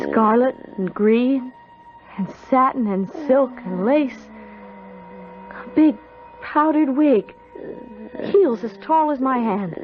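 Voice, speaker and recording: Earnest, high-voiced young woman. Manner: earnest, hesitant, dramatic. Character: young woman, curious, sensitive, easily frightened. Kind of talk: old-time radio drama acting. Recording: dull old radio recording.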